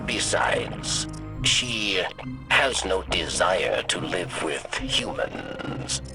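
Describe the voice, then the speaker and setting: A man playing a courteous, wry alien go-between in a video game, with formal, halting phrasing. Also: sits low, animated, exaggerated voice. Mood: measured, wry. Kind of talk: dramatic game voice acting. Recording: loud music under the voice.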